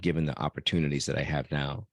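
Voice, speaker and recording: A man speaking as an interview guest, reflecting on personal matters in a measured way and pausing mid-phrase. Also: extremely low pitch, steady tone, dry recording. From born in El Salvador, raised elsewhere by adoptive parents. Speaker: podcast guest.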